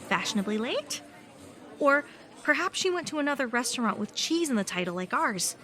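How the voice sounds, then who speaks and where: Woman acting lively, teasing young waitress. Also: high voice, fairly quick, full character voice. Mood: polite, awkward. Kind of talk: comedic radio play acting.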